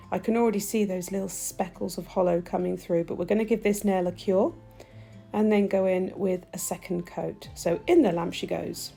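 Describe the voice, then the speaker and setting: Woman, nasal and stuffy, gravelly low tone, mellow, soft music behind. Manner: chatty, gushing, talks while working. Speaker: nail technician demonstrating gel polish. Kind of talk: chatty tutorial voice-over. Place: home nail studio.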